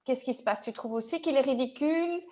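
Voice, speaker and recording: A woman guiding a therapy session, asking calm, probing questions. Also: high-pitched, fairly quick. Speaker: EFT practitioner.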